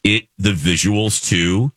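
A man hosting a casual entertainment radio show with campy, expressive emphasis. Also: deep voice, slow pace, dry recording. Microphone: close studio microphone.

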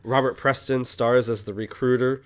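A man's voice: medium pitch, steady tone, moderate pace, clear, resonant tone. A man co-hosting a casual film review podcast, speaking in an enthusiastic, opinionated way and often explaining things. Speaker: podcast co-host.

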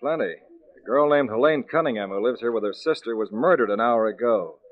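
An actor playing a brisk, matter-of-fact police captain in 1940s radio drama style. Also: male voice, medium pitch, lively intonation, natural voice. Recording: dull old 1940s radio broadcast.

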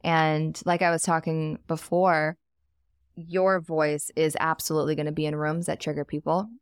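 A woman's voice: sits low, little pitch movement, moderate pace, smooth, dry recording, studio quality. A woman talking in an earnest, reflective and emphatic way as a podcast guest in casual conversation. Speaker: podcast guest, wealth coach.

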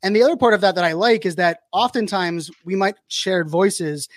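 A man's voice: high-pitched, normal speed, dry recording, studio quality. A man in his forties hosting a podcast, chatty and upbeat with lots of fillers and restarts. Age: 40s. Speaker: podcast host. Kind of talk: casual interview chat.

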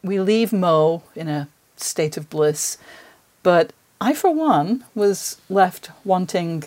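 A woman's voice: lowish voice, expressive, slow pace, a little mellow. A woman hosting a podcast, interviewing a guest in a conversational style.

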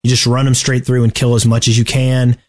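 Man, mid-pitched voice, podcast host, casual strategy talk, halting delivery. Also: monotone. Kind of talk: casual game strategy discussion.

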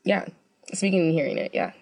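Chatty young woman, lowish voice. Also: a little fast, gravelly, a little mellow. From United States. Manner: chatty, filler-heavy storytelling with many "like"s. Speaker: co-host. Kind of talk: casual scripted web video talk.

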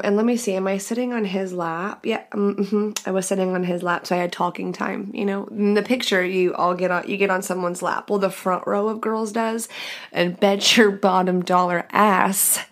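A woman's voice: mid-range voice, fairly even intonation, moderate pace, a bit bright. A young adult woman hosting a podcast, telling a crude story candidly and casually, laughing often. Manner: giggly, frank and crude, lots of laughing asides. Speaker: podcast host.

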